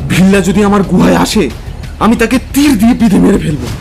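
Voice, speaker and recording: A man playing a young caveman hunter in an audio drama, frightened yet defiant, speaking in agitated, breathless, halting phrases. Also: sits high, animated, vocal fry, a little mellow, soft background music. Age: young adult. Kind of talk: dramatized audio story acting.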